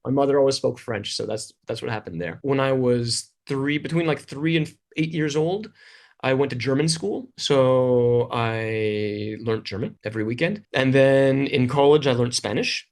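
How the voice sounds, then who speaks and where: A man's voice: medium pitch, moderate pace. A middle-aged man from Canada, an interview guest, talking casually and rambling with fillers and restarts. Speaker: interview guest, English teacher.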